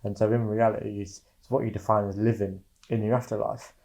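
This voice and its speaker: A man's voice: fairly low pitch, level tone, a little fast, vocal fry, darkish tone. A man thinking aloud reflectively while answering documentary interview questions.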